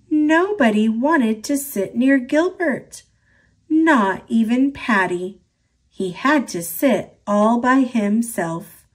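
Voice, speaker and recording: A woman reads a children's picture book aloud, gentle and expressive. Her voice sits a little high and swings widely in pitch. She takes her time over every line. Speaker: storybook reader.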